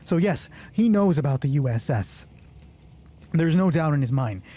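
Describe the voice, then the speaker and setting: A man narrating a solo tabletop roleplay and thinking aloud in a casual, sweary way, with a husky voice. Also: on the high side, animated, normal speed, mellow. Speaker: solo roleplay game master. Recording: background music under the voice. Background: music.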